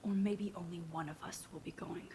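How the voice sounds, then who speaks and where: A woman playing an earnest, quietly brave teenage girl in a video game, speaking softly and sincerely with a hushed delivery. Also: lowish voice, fairly even intonation, fairly quick, slight background noise, lightly acted. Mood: quietly pointed. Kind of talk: video game voice acting, soft introspective delivery.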